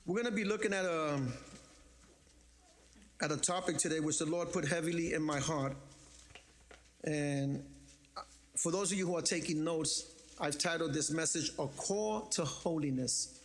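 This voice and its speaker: A pastor from the Bronx, preaching a sermon in a lively, joking, conversational way. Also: male voice, a little high, moderate pace, pauses often. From the Bronx, New York.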